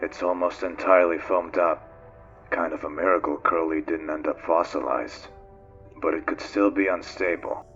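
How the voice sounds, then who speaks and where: A man playing a brooding, weary acting captain of a space freighter, measured and dry, in a fan-dubbed game. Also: sits low, steady tone, a bit bright, lo-fi sound. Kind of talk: dramatic fan dub of a video game. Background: music.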